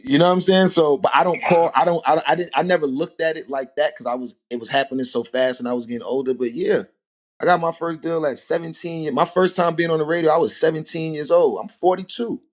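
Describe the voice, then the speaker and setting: A man, a rapper telling stories as a podcast guest in a relaxed, animated way. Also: on the high side, fast. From Harlem, New York. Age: 40s. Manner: animated storytelling, rhetorical asides. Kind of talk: casual podcast conversation.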